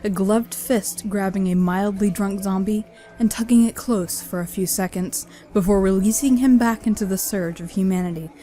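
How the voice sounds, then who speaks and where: Woman reading a scary story like an audio drama narrator, medium pitch, music playing behind her. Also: moderate pace, mellow. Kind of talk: scripted horror story narration.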